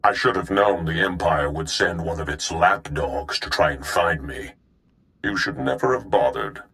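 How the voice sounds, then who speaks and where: A very low-voiced, disdainful warlord in a sci-fi game. He is grim and grandiose, pronouncing each statement gravely, like fate itself is his to decide. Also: animated, moderate pace, a bit bright, heavily acted. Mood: cold contempt. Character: grim, grandiose male warlord bent on changing the galaxy. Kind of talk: dramatic video game acting.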